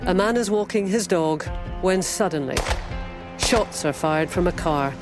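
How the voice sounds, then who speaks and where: A woman's voice: fairly low pitch, measured pace, over music. A woman reading scripted narration for a podcast trailer.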